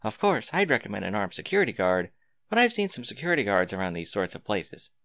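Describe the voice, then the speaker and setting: Man reading as a scornful woman guard, animated pitch. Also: quick pace. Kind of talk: scripted fan fiction reading.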